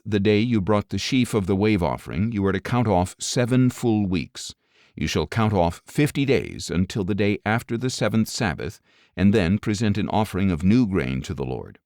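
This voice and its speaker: A man reading scripture as an audiobook narrator, in a measured, solemn, clearly enunciated way. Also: low voice, a little mellow, dry recording, studio quality.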